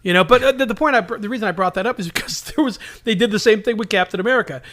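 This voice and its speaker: A man with a notably high-pitched voice chats as a podcast co-host. His delivery is casual and jokey, and he likes to act out small mock quotes in the middle of his banter. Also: racing, fairly bright tone. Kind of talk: casual nostalgic banter about superhero TV.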